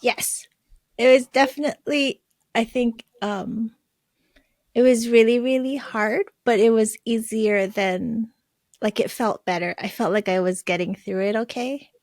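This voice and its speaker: Woman, slightly high pitch, dry sound, casual, halting, reflective. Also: steady tone, a little mellow, no room sound. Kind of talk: casual chat.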